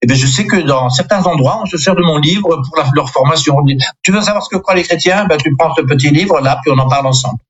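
A man's voice: fairly high pitch, quick pace, few pauses, slightly rough, lo-fi sound. An older man, a priest and native Alsatian speaker, giving rambling, self-deprecating interview answers full of superlatives.

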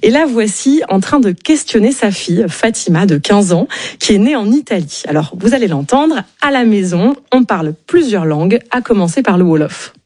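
Female radio presenter, measured and clear, dry booth sound. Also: medium pitch, animated, mellow, no echo. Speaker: radio show host. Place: radio studio.

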